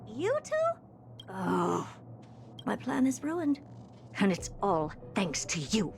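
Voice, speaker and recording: A woman's voice: medium pitch, sing-song, takes it slowly, creaky, slight background noise, heavily acted. An actress playing a haughty, impatient genius woman scientist in a video game, speaking in an imperious, theatrical and dismissive way. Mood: grudging. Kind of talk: scripted video game voice acting.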